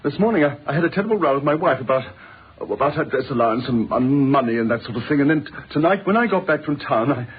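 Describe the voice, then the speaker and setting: An actor playing a distraught British novelist in an old radio drama, agitated and stammering, with a strained, husky voice. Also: male voice, medium pitch, expressive, fast talker. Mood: frantic, desperate. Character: distraught, confused male novelist confessing to murdering his wife. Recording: muffled old radio recording.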